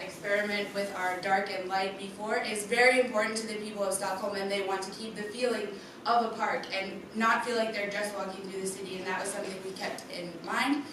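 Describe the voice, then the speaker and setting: A woman's voice: mid-range voice, steady tone, fairly quick. A young woman giving a rehearsed student project presentation straight to a live audience. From USA. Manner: clear rehearsed delivery, speaks straight to the audience. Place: darkened presentation room with an audience. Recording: echoey room from far off, background noise.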